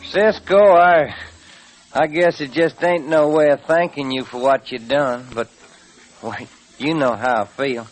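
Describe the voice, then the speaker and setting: An actor playing a grateful, folksy ranch owner with an American Western accent, earnest, in an old radio western. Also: male voice, medium pitch, fairly even intonation, a little slow, natural voice, poor audio. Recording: old radio recording, faint noise.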